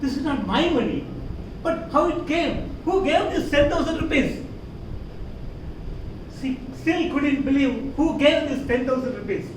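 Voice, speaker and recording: A man with an Indian accent giving a theatrical storytelling speech, emphatic and dramatic, with deliberate pauses. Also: expressive. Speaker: contest speaker. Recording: roomy echo, distant mic, clear rumbling noise.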